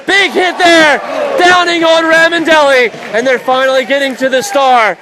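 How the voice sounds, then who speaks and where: A man calling live ice hockey play-by-play for radio, excitable and raising his voice on big plays. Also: very high voice, normal speed. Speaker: play-by-play commentator. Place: indoor ice rink broadcast position. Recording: live arena commentary with faint crowd noise. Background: faint crowd and rink noise.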